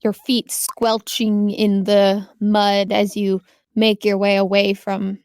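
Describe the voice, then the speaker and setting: A woman's voice: medium pitch, level tone, takes it slowly, darkish tone, clean tone, dry recording, clean studio sound. A woman running a tabletop game, narrating scenes and chatting casually with players as game master.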